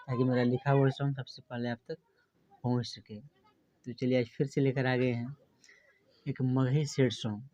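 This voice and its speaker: A man's voice: mid-range voice, dark tone. A man presenting his songwriting channel in a casual, rehearsed way as its host. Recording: dull lo-fi sound.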